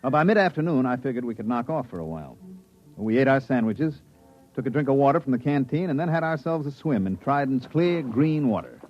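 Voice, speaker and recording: A man playing a sensible, skeptical space explorer, conversational and expressive, in an old-time radio drama. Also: medium pitch, a little fast, faint music behind, close to an everyday voice. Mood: relaxed, recounting. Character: sensible, increasingly exasperated male space explorer narrating his adventure. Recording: muffled vintage radio broadcast recording.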